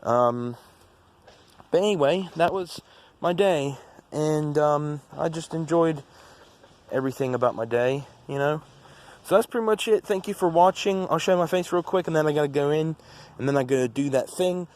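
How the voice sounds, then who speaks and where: A teenage male with an American accent gives a casual, rambling vlog-style recap of the day, halting often as he talks. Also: a little high, lively intonation, darkish tone. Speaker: vlogger. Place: outdoors. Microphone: phone. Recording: noisy outdoor phone recording with traffic.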